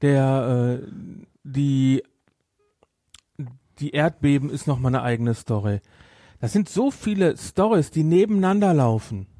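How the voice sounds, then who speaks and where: A man's voice: medium pitch, a little slow, dark tone, no room sound, clean studio sound. A man hosting a podcast, discussing a story casually and emphatically, often repeating and rephrasing his points. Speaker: podcast host. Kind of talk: casual discussion, reviewing an audio drama.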